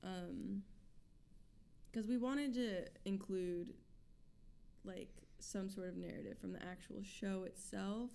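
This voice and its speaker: Young woman, 21, rambly chatty band member in a radio studio, smooth clear voice. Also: mid-range voice, steady tone, takes it slowly, long pauses, mellow. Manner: chatty, many fillers, giggly. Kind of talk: casual interview chat.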